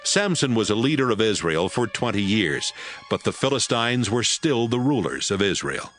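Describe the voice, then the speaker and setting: Low-voiced male scripture narrator. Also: sing-song, moderate pace, a bit bright, faint music behind.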